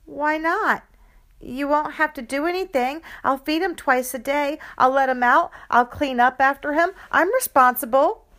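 A woman's voice: medium pitch, flat delivery, normal speed, few pauses, darkish tone, smooth, lightly acted. A woman voicing an eager young girl who earnestly pleads for a dog in a storybook reading. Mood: pleading.

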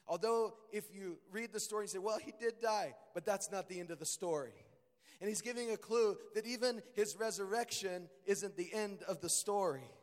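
Hoarse high-voiced preacher in a reverberant hall. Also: male voice. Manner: earnest, builds to emphatic peaks. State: strained voice, deeper than usual. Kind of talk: sermon reading and expounding scripture.

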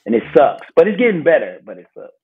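An American man tells stories in a loose, conversational way, slipping into slangy little quoted exclamations. His voice is husky and not very clean, and it comes through a narrow, phone-like call. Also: a little high, very animated, fast talker. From Milwaukee, United States. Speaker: podcast guest, travel series host. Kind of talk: casual conversational storytelling. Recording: thin phone-like call audio.

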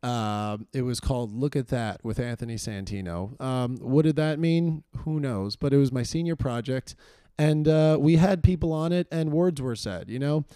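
A hoarse man hosts his podcast, rambling and self-deprecating with lots of fillers. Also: medium pitch, moderate pace, mellow, dry recording, clean studio sound. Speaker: podcast host. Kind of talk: casual solo intro monologue.

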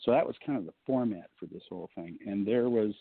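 Low-voiced man on a phone line. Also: quick pace, slight background noise. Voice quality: low baritone voice. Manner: rambling, joking, many fillers. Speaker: guest. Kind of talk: casual storytelling on a call-in show.

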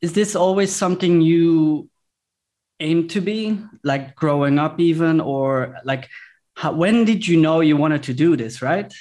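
A man hosting a podcast over a video call, speaking in a halting way full of fillers and restarts. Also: a little high, a little mellow. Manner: halting, many fillers, admiring. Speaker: podcast host.

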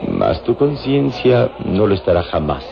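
A man's voice: low-pitched, huge pitch swings, gravelly, background noise, full character voice. A man playing a solemn, mysterious adventurer hero who speaks grandly in an old-fashioned radio drama. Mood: ominous. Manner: solemn, grandiloquent, deliberate warnings. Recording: dull old radio serial recording.

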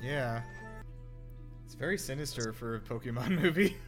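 A man's voice: medium pitch, expressive, moderate pace, music in the background. A man hosting a casual film commentary chat, rambling and somewhat hesitant as he talks. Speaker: podcast host.

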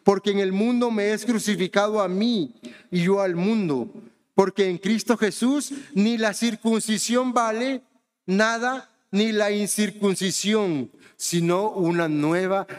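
A man's voice: high-pitched, unhurried, in a large hall. A man from Honduras preaching a sermon in a conversational way, often turning to the congregation with questions. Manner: conversational preaching, often asks the congregation "¿verdad?" and says "hermanos".

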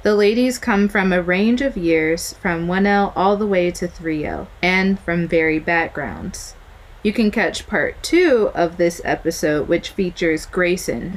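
Casting: young woman podcast host, somewhat low voice, upbeat and welcoming. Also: low-quality recording. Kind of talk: podcast intro and group interview.

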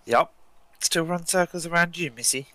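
A woman, co-hosting a podcast in casual chat, playful, quick to laugh and happy to swear. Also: lowish voice, fairly bright tone, no room sound. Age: 40s. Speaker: podcast co-host.

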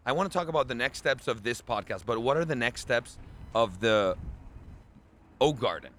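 A man from Venezuela, co-hosting a podcast as a voiceover artist and speaking in a relaxed way that slips into words. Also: mid-range voice, moderate pace, a little hiss or hum. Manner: relaxed, mixes in Spanish words. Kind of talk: casual podcast chat.

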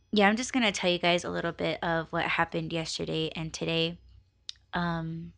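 A young woman hosting her own podcast voice blog, talking casually and haltingly with many fillers, her voice raspy and weak from being sick. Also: lowish voice, fairly bright tone. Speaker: podcast host.

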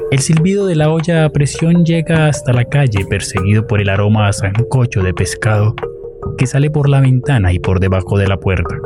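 A man's voice: mid-range voice, brisk, over loud music. A man with a Colombian accent reading scripted narration for a radio play.

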